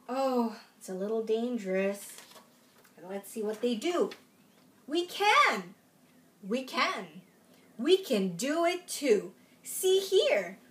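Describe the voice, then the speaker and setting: A young woman giving an expressive, exaggerated picture-book read-aloud for small children. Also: a little high. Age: young adult. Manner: expressive, exaggerated storyteller delivery. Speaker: children's library storytime reader. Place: room at home, in front of a folding screen.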